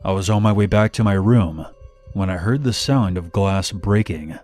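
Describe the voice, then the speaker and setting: A man's voice: deep voice, normal speed, a little mellow. A man reading a scripted first-person horror story as narration. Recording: music bed behind narration. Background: background music.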